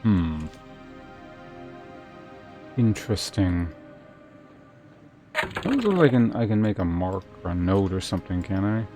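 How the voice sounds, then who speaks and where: Low-pitched man casually narrating his gameplay. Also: animated, measured pace, long pauses, music in the background. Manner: thinking aloud, muttering to himself. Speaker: video game streamer. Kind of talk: casual live game commentary.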